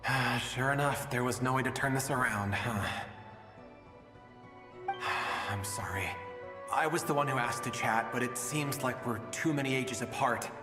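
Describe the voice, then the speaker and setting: A man playing a weary, doomed father from an ancient age in a dramatic game scene, speaking in a halting, resigned, reflective way. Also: mid-range voice, lightly acted. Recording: echoey hall reverb, music behind. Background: music.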